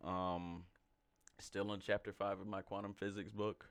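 A man's voice: deep voice, flat delivery, unhurried, no echo. A man in his twenties from Alabama hosting a casual chat, rambling with lots of fillers and frequent laughter. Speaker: podcast host.

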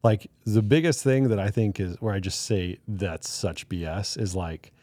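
Low, mellow man hosting a podcast. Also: moderate pace, no echo. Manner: relaxed, chatty, tells anecdotes. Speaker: podcast host. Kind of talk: casual interview chat.

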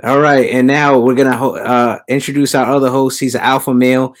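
Male podcast host, average pitch, jokey ribbing tone. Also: little pitch movement, a little fast. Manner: joking, teasing, laughs often. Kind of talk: casual podcast chat.

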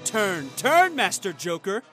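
A woman's voice: mid-range voice, big pitch swings, slow pace, a bit bright, faint music behind. A woman reading an audiobook, voicing a hot-headed young swordsman who speaks in a challenging, exasperated way. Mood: angry challenge. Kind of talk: audiobook character voice.

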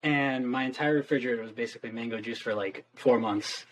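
A twenty-year-old man, a band guest, telling a story in a halting way with many restarts. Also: mid-range voice, fairly bright tone, slight background noise, low-quality recording. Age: young adult. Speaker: guest musician. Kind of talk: casual interview chat.

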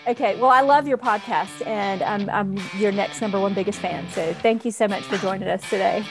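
A woman's voice: mid-range voice, fairly quick, over music, rough recording. Gushing woman podcast host. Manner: gushing, enthusiastic. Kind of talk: casual podcast chat and sponsor reads.

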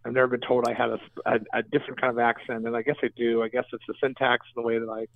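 A man's voice: medium pitch, little pitch movement, very fast, creaky. A man with a Mid-Atlantic accent, a guest on a podcast, talking in a rambling way and correcting himself as he goes. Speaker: guest, event organizer. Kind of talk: casual podcast interview. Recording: dry tinny phone-line sound.

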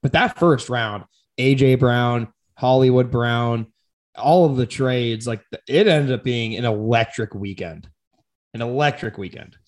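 A man's voice: medium pitch, a little slow. Young man in casual sports chat. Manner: animated, laughs often, slangy. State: voice partly lost. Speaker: podcast co-host.

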